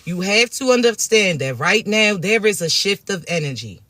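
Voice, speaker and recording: A man with a raspy, fairly high and bright voice talks straight to his followers in a warm-hearted, reassuring tone. He delivers a casual spiritual message as their coach. The sound is dry and close. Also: big pitch swings, moderate pace, no echo. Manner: affectionate, reassuring, calls listeners "chosen ones" and "babies".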